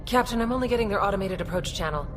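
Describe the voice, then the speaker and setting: An actress plays a female starship pilot in a sci-fi game. Her medium-pitched voice is efficient and businesslike, calling out procedures over loud music. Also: animated, racing, lightly acted. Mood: focused, businesslike. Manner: crisp procedural radio-call phrasing. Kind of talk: naturalistic video game acting.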